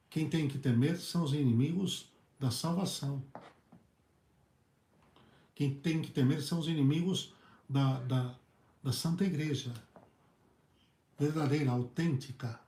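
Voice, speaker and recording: A hoarse male priest with a Brazilian Portuguese accent, preaching earnestly in halting phrases and often repeating his words. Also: mid-range voice, darkish tone. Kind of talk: preached talk on a video channel. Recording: echoey room.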